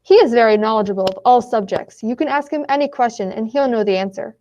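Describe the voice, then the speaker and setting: Earnest female scientist played by a teenage girl, patient and explanatory, slightly high voice, radio drama acting. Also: quick pace, a little mellow, slight background noise, lightly acted. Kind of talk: school radio play acting.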